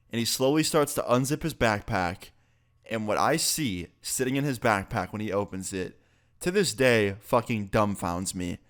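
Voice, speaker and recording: A man's voice: medium pitch, fairly bright tone. A young man, a bit sick, telling a casual, profane story on his vlog. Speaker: YouTuber.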